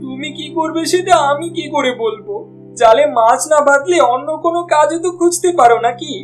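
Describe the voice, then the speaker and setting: Cartoon scolding wife female actor: higher-pitched, sharp-tongued, nagging, fed up, music underneath. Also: fairly quick, natural voice. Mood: angry, nagging. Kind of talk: cartoon voice acting.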